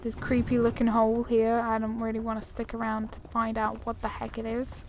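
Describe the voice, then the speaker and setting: A woman's voice: fairly high pitch, monotone, a little fast, a little mellow, slight background noise. A woman giving casual, rambling, informal commentary while playing a video game. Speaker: gaming video host.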